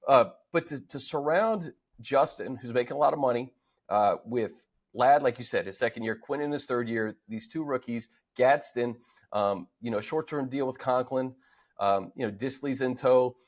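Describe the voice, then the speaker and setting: Male sports host, medium-pitched voice. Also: moderate pace. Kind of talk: conversational sports analysis.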